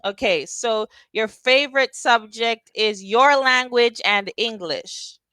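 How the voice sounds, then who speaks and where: A woman tutoring English over a video call, speaking slowly and patiently and asking simple questions. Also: on the high side, bright, forceful tone, no echo. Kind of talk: casual tutoring conversation.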